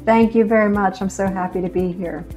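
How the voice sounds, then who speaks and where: A woman's voice: medium pitch, fairly even intonation, a little fast, dark tone, faint music behind. A woman from Pennsylvania, a guest on a faith show, telling her life story in an earnest, anecdotal way and often restarting her sentences. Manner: earnest, anecdotal, repeats words as she restarts. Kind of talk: personal testimony in conversation.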